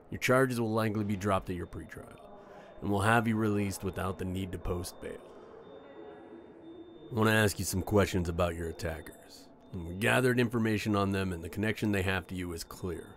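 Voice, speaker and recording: A man's voice: deep voice, slight background noise. A man playing a weary, irritable FBI special agent in an audio drama, curt and official.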